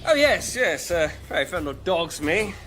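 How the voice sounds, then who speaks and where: Flustered, high-voiced man bluffing. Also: big pitch swings, moderate pace, fairly bright tone, soft background music. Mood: nervous bluffing. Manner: faltering, evasive, hesitant. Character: nervous, squeamish man faking enthusiasm, badly flustered. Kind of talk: radio sketch comedy acting.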